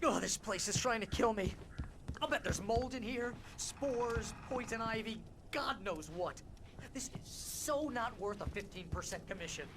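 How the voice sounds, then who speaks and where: An actor playing a nervous, sarcastic American best friend and agent in a video game cutscene. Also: male voice, high voice, expressive, measured pace, background noise. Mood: grumbling. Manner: sarcastic, fussing, exasperated.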